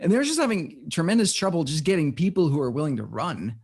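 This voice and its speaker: A man's voice: on the high side, very animated, quick pace, darkish tone, husky. A man from Denmark, a guest in a casual interview, thinking aloud with many restarts. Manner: thinks aloud, with frequent restarts and searching for words.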